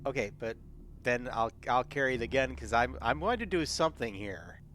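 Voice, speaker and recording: A man's voice: mid-range voice, expressive, moderate pace, a bit bright. A man chatting casually as a player in a tabletop roleplaying game.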